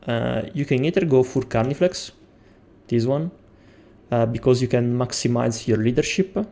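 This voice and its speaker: Young Italian man, non-native English, warm low-key voice, walks through a game tutorial with frequent pauses. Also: gravelly. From Italy. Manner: explains step by step with frequent hesitations. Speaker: game guide creator. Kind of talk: gameplay tutorial.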